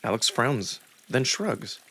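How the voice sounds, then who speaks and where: A man reading a visual novel aloud as narrator, in an expressive, deliberate first-person storytelling style. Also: mid-range voice, vocal fry, husky.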